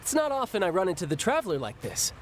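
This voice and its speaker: A man's voice: high-pitched, huge pitch swings, quick pace, background noise. A man playing a cocky young bank enforcer in a video game, breezy, teasing and confident. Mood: casually menacing. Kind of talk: video game character acting. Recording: game music underneath.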